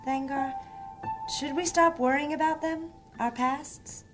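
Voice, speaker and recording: A woman's voice: sits high, steady tone, slow pace, over music. A woman playing an earnest, plaintive young wanderer in a fantasy audio drama. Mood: wistful, searching.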